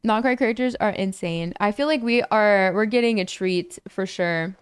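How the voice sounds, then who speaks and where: A young woman streaming games, chatting casually with viewers and breaking into excited thanks for donations, her voice a little worn from talking for hours. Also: normal speed, smooth, dry recording, studio quality. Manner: chatty, bursts into high excited squeals thanking donors. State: voice a bit lower and worn from long talking. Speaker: gaming livestreamer. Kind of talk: casual livestream chat to viewers.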